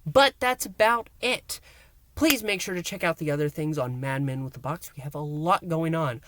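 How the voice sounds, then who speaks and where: A man hosting a review and recap, speaking emphatically to viewers in a halting way with dramatic pauses. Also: fairly high pitch, fairly bright tone, slight background noise. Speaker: host of an audio drama review channel.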